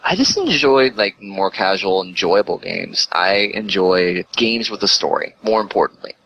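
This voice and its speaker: Deep, bright-voiced rambling man. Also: lively intonation, moderate pace, slightly rough, no room sound, rough recording. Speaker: guest, live streamer and writer. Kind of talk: casual interview chat. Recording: faint background noise.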